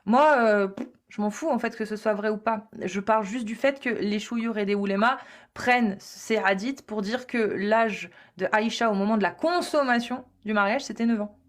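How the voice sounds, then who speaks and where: A woman's voice: mid-range voice, moderate pace. A woman hosting a live online debate, insistent and repetitive, with many fillers.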